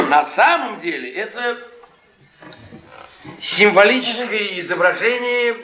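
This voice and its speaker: High-voiced sarcastic husband, echoey stage. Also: male voice, expressive, slow pace, pushed and bright, heavily acted. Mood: mocking. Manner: mocking, needling, repetitive. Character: bitter, sarcastic, downtrodden history professor husband. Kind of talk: Russian theatrical radio play acting. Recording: echoey stage, distant mic, audience noise.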